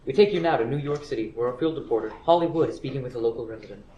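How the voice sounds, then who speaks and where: A man's voice: mid-range voice, racing, dark tone, a little hiss or hum, close to an everyday voice. A man playing a dramatic radio announcer, reading scripted show links and bulletins. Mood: formal, announcing. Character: dramatic male announcer of radio mystery and news. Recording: echoey room, distant mic.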